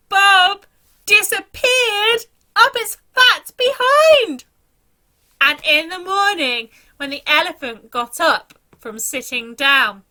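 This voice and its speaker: A woman's voice: very high-pitched, bright, forceful tone. A woman reading a children's story aloud in a dramatic, theatrical storytelling manner.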